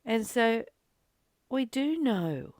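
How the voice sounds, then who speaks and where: A woman's voice: a little high, very animated, very slow, darkish tone, no room sound, clean studio sound. A woman talking casually in a spiritual study discussion, rambling conversationally and often searching for words. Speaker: psychic healer leading a spiritual book study. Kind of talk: casual spiritual discussion with some read-aloud passages.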